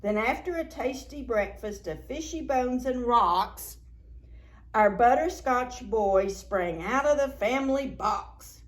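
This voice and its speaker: A woman hosts a story time for small children with a very animated, slightly high voice. She takes her time over the picture book, reading it expressively. Now and then she turns to her young listeners with an aside or a question. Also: slow. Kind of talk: read-aloud picture book storytelling for preschoolers.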